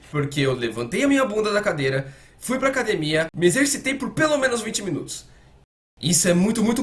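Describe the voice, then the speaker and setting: A man's voice: sits high, fairly bright tone. A man with a Brazilian accent dubbing an earnest psychiatrist coach, explaining conversationally and emphatically with repeated words and rhetorical questions. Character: earnest male psychiatrist coaching gamers on habits. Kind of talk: conversational self-help explainer, dubbed.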